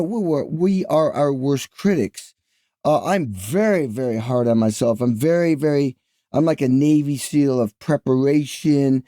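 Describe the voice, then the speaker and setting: A male podcast host talks with eager emphasis, and his voice sits a little high but soft and mellow in tone. The sound is close and dry, with no room around it. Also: dry recording. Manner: emphatic, enthusiastic. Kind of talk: casual interview chat.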